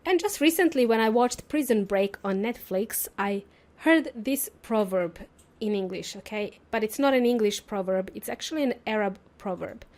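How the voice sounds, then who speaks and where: A woman's voice: medium pitch, normal speed, a little mellow. A woman whose native language is Serbian, hosting an English-teaching podcast in an upbeat, playful and chatty way, often asking her listeners questions. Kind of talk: solo teaching podcast monologue.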